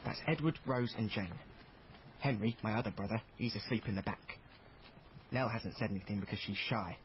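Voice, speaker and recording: A man's voice: on the low side, a little fast, close to an everyday voice. An actor playing a youthful hero who narrates his family's story in a radio drama with wry, chatty asides. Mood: wry introduction. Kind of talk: dramatized first-person narration. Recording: dull, with faint background noise.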